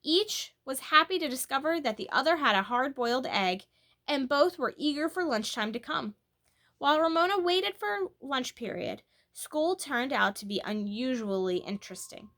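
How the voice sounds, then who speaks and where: A woman reading a children's book aloud as a storyteller, in an expressive, animated way that leans on key words. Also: high voice, moderate pace.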